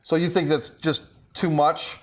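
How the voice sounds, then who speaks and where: A man speaking casually as a director with actors, agreeable and encouraging, with a halting way of working through his thoughts. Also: a little high, level tone.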